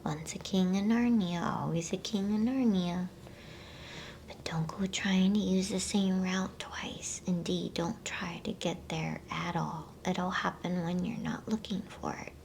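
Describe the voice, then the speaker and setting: A woman whispering softly in a soothing, unhurried way, as an ASMR host reading bedtime stories and chatting to listeners. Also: fairly low pitch, fairly even intonation, a little hiss or hum. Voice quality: breathy whisper.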